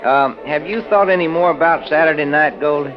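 A man's voice: fairly high pitch, fairly quick, muffled recording, lightly acted. An actor playing an eager, girl-chasing American truck driver in old radio drama style, with folksy slangy speech. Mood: eager, coaxing. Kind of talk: 1940s-50s radio drama acting. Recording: noisy vintage radio recording.

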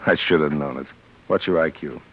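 A man's voice: rumbling low, fairly quick. An actor playing a tough little gray-haired older man, a curt, needling boss in a 1940s radio drama. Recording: muffled old radio recording.

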